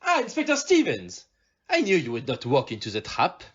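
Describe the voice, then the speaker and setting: An amateur performer's take on a famous fictional detective for an audio play, shrewd and courtly. The delivery is theatrical and extremely animated, dotted with French phrases and questioning tags. The sound is slightly dull and lo-fi. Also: mid-range voice, moderate pace, noisy background. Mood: brisk, commanding.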